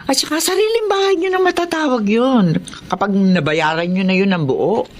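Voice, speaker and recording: A woman's voice: medium pitch, expressive, moderate pace, slight background noise, natural voice. A woman playing a humble, affectionate older neighbour in a Filipino radio drama, with an emotional, motherly manner. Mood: reassured.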